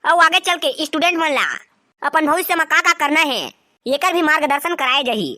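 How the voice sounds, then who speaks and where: A woman's voice: high voice, moderate pace, pushed and bright, dry recording, studio quality. A woman voicing a blunt, cheeky village youngster in a cartoon, with a Chhattisgarhi accent. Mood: promotional. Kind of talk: cartoon dialogue.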